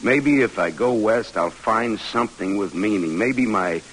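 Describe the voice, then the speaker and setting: Low-voiced troubled American veteran, hissy radio. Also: male voice, a bit bright, rough recording. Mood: anguished, searching. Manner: earnest, halting, self-reproaching. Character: troubled one-armed war veteran turned geology professor. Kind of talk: 1940s radio drama acting. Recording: hissy old radio broadcast.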